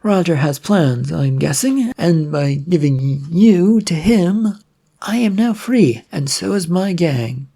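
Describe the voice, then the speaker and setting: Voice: mid-range voice, animated, takes it slowly, mellow, voice pushed up. A narrator voicing a bored, ruthless female gunslinger with a brusque, sardonic manner in an adventure story. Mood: bored, breezy.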